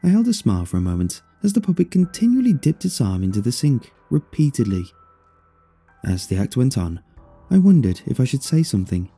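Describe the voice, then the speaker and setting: A man's voice: medium pitch, sing-song, brisk, mellow, husky, faint music behind. A man reading a scripted first-person horror story as its narrator.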